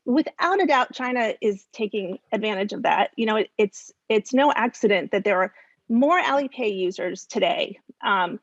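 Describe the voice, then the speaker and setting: Woman, higher pitch, halting emphatic delivery, unscripted expert commentary, dry muffled recording. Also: animated, no room sound. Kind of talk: spontaneous panel commentary.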